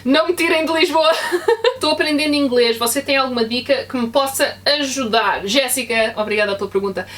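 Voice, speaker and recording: A young woman from Portugal with a European Portuguese accent, chatting casually and answering viewer questions in an unscripted vlog. Her voice sits a little high, and she trips over her words with "ahm" and restarts as she talks. She sounds a little set back from the microphone. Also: a little fast, a bit bright, far from the mic. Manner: chatty, frequent fillers and self-corrections, laughs easily. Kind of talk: casual Q&A chat.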